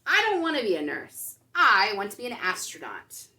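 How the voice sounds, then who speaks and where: A woman's voice: mid-range voice, wildly swooping pitch, moderate pace, sharp tone, heavily acted. A woman reading a picture book aloud as a hopeful, determined little girl who dreams of space. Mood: firm.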